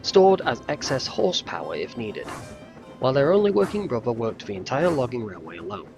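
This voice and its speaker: Animated male storyteller over music. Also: medium pitch, a little fast, gravelly. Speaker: narrator. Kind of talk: scripted storybook narration.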